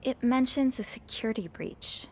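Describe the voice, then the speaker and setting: An actress playing a young, curious and polite hotel maid in a video game. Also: female voice, fairly high pitch, moderate pace, a little hiss or hum, natural voice. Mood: intrigued.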